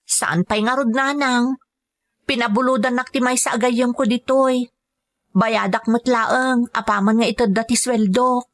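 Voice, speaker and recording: A woman's voice: a little high, steady tone, a little slow, no echo, clean studio sound, lightly acted. A woman with a Filipino accent playing a patient, dutiful young caregiver working abroad, in earnest, polite scripted drama. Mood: earnest, reassuring. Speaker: voice actor in an audio drama. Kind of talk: scripted drama dialogue and narration.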